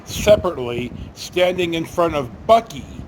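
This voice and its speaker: Older male storyteller, slightly high pitch, casual, meandering and folksy delivery, faint vehicle rumble in the background. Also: animated, normal speed. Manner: folksy, hesitant, rambling, mildly profane. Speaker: storytelling vlogger. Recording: noisy, with vehicle rumble behind.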